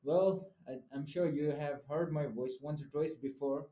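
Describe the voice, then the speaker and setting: A young man from Nepal co-hosting a student podcast in a relaxed, chatty way. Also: a little high, normal speed, roomy sound. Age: young adult. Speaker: podcast co-host. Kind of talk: casual podcast chat.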